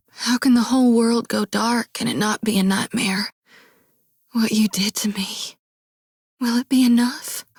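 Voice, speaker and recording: An actress voicing a young, folksy and earnest harvest priestess in a video game, talking in a homespun Southern drawl. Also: female voice, medium pitch, husky, no room sound, clean studio sound. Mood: whispering dread. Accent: American Southern rural. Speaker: game character.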